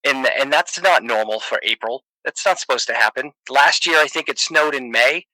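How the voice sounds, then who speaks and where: Joking New man, bright tone. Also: mid-range voice, normal speed, no echo, poor audio. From Massachusetts, USA. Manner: joking, laughs often, many fillers. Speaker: podcast guest. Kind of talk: casual chat.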